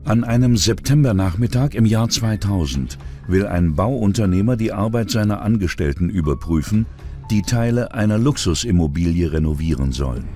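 A man's voice: lowish voice, animated, normal speed, dark tone, soft background music. A man narrating a scripted true-crime documentary.

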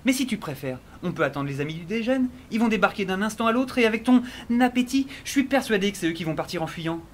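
A man's voice: sits high, lively intonation, fast, a little hiss or hum. An actor playing a sarcastic, geeky young male scientist in an audio drama, wryly telling his adventure and rambling. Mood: exasperated. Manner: wry, rambling, talks to the listener. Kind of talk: audio drama narration and dialogue.

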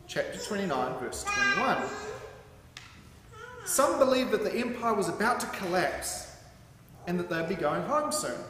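A man reading a sermon aloud from a script in a deliberate, emphatic way, standing at a microphone in a large hall. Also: sits high. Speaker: sermon reader. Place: large hall with curtained stage, indoors. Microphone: microphone on a stand at a lectern. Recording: very echoey hall with some background noise.

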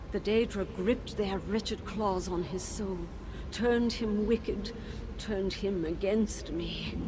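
Grave, low-voiced ghost teacher, weary and sorrowful, with game-audio hiss. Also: female voice. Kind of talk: video game voice acting. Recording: game audio with steady background noise.

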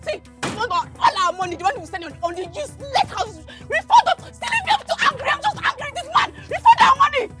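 A furious Nigerian woman who feels cheated while house-hunting. Her extremely high, sharp voice swings about as she shouts fearless, repeated dares. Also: sing-song, quick pace, soft background music, heavily acted. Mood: daring fury. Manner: shouting, daring, repetitive. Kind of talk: heated comic film drama.

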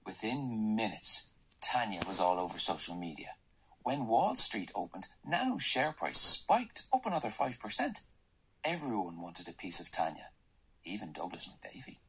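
An actor with an Irish accent in a radio drama, playing a wry, uneasy, sceptical company employee who also narrates. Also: male voice, on the low side, wildly swooping pitch, moderate pace, slightly rough, exaggerated voice, rough recording. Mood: wry narration.

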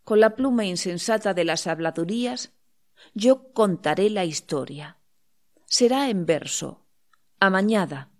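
A woman reading a literary audiobook of verse, pausing deliberately between short phrases. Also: mid-range voice, a little mellow. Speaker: audiobook reader.

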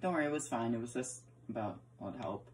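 A woman's voice: extremely low pitch, expressive, quick pace, slight background noise. A woman chatting casually while role-playing a block-building game, often stammering and repeating her words, recorded indoors by a camera aimed at the screen. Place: indoor room, filming a TV screen.

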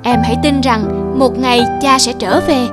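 A woman voicing a gentle, kind young teacher in a cartoon. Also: high voice, normal speed, lightly acted. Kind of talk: cartoon voice acting. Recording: music behind voice.

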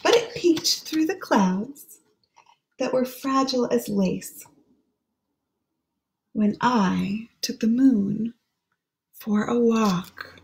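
A woman in her thirties gently reading a children's picture book aloud and explaining the pictures as she goes. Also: a little high, extremely slow, gravelly, pauses often, low-quality recording. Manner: gentle, explaining pictures between read lines. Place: small room at home.

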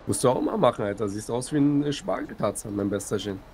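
A man's voice: medium pitch, very animated, quick pace, a little mellow. A man playing a roleplay game, bantering in a brash, jokey, slangy way over voice chat. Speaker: fellow player in a roleplay game. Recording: clearly noisy game voice chat.

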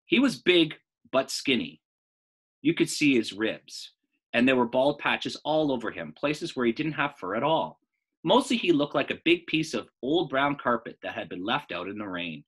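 A man in his thirties reading a children's book aloud as a storyteller, in an animated style. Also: medium pitch, slightly rough.

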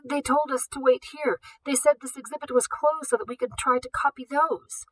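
A woman voicing a teenage girl who feigns frailty, speaking in halting, drawn-out phrases while reading a novel aloud. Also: high voice, fairly even intonation, far from the mic, pitched above the performer's own voice, close to an everyday voice, rough recording. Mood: faltering.

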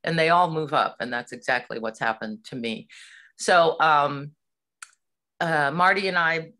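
Guest: middle-aged woman from Hawaii, bright tone, lively and chatty. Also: deep voice, unhurried. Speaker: puppeteer guest. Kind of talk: casual interview chat.

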